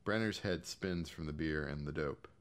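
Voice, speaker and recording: A low-voiced American man narrates a short story. He reads from a script in an audiobook style, as a storyteller delivering fiction. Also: moderate pace.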